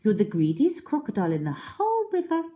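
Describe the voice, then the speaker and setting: Lady doing a small snippy croc for story time, high n indignant, emphatic, disapproving. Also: wildly swooping pitch, fairly quick, mellow. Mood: scornful. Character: smaller, disapproving, blunt crocodile who mocks. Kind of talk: storybook character reading.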